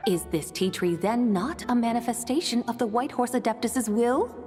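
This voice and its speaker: A woman playing a composed, wry immortal woman who speaks formally and measuredly, gently teasing, in an anime game. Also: on the high side, lively intonation, normal speed, music in the background, natural voice. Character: composed, wry female immortal adeptus with sly wisdom.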